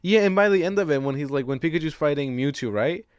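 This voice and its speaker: A man's voice: a little high, animated, fast, clear, resonant tone, no room sound, clean studio sound. Loose-talking man, casual and profane. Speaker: podcast co-host. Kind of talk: casual chat, film discussion.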